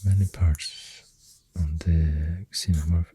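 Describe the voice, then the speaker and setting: A soft-spoken ASMR host with a Swedish accent, half-whispering in a breathy voice and hesitantly rambling up close to the microphone. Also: low-pitched, steady tone, slight background noise. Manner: hushed, hesitant, pausing mid-thought. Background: pencil writing sounds.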